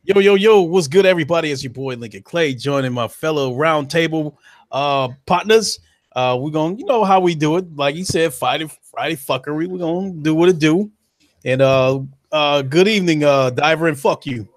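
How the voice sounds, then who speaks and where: Brief: male panelist, slightly high voice, dry close mic, hype slang intro. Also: normal speed, no echo. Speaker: podcast guest. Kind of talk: casual greeting.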